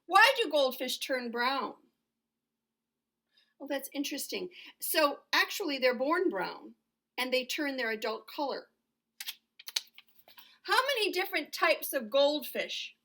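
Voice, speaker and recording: A woman's voice: high-pitched, lively intonation, unhurried, pauses often, bright, forceful tone. A middle-aged woman teacher giving a lesson to young children, asking questions and answering them in simple, explanatory phrases. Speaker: elementary school teacher.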